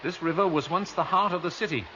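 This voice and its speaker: An actor playing an eager, wary citizen turned rebel guide, urgent and excitable, in a 1970s sci-fi radio drama. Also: male voice, high-pitched, quick pace. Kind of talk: 1970s science fiction radio drama acting. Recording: dull vintage radio recording, stream noise.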